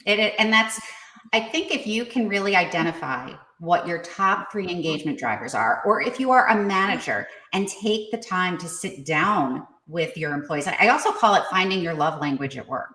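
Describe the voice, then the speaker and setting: A woman's voice: fairly low pitch, animated, normal speed, pushed and bright. A woman from New York with a New York accent, speaking as an expert guest in a conversational interview, casual and emphatic. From New York state. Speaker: podcast guest, career coach and HR expert. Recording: echoey room sound.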